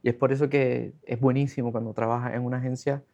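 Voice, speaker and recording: A man's voice: medium pitch, level tone, quick pace, vocal fry, mellow. Rambling male interviewer, dull sound. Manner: long rambling questions. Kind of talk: casual interview.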